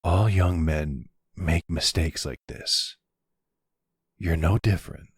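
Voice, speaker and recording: A man playing a gentle older mafia man and devoted partner, speaking softly and reassuringly in an intimate roleplay. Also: low-pitched, slow, breathy edge, dry recording, clean studio sound, heavily acted. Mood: tender, forgiving. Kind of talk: intimate ASMR boyfriend roleplay.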